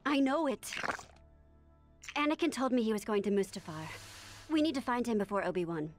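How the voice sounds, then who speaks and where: A woman playing a young, loyal, distressed noblewoman in an animated game, earnest and pleading. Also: a little high, a little fast, faint music behind, natural voice. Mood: distressed, insistent. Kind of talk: animated video game voice acting.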